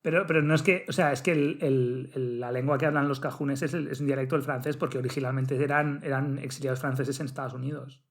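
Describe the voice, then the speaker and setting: A man co-hosting a podcast in casual chat, restarting his sentences as he goes. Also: mid-range voice. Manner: fast, animated, restarts sentences often. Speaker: podcast co-host.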